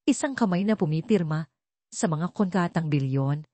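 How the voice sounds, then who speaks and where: Mellow, dry-voiced woman narrating a story. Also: fairly low pitch, lively intonation, normal speed, no echo. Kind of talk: scripted dramatic narration.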